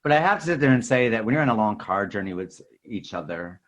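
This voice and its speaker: A man's voice: on the low side, lively intonation, quick pace, over a noisy crowd. A man hosting a podcast in a casual, chatty style. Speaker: podcast host. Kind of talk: casual chat.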